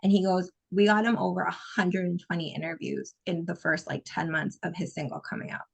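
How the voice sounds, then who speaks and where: A woman guest on a podcast, co-founder of an entertainment company, telling lively stories and laughing easily. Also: fairly low pitch, a little fast, gravelly, husky, low-quality recording. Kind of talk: casual interview chat.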